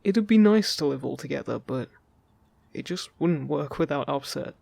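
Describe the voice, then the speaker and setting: A man's voice: fairly high pitch, animated, normal speed, vocal fry, a little mellow, no echo, exaggerated voice. A man playing a friendly, teasing male fairy in an audio roleplay, chatting casually and reassuringly to the listener. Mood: playful, reassuring.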